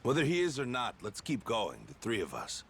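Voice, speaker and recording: An actor playing a level-headed, practical adventurer companion in a video game, speaking in a measured way. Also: male voice, medium pitch, huge pitch swings, fairly bright tone, a little hiss or hum. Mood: calm, resolved.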